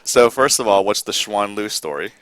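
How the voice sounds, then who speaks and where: Low-voiced joking male host. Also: expressive, moderate pace, fairly bright tone, no echo. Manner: jokey, teasing, laughs often. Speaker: podcast host. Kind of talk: casual interview. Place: studio at a casino.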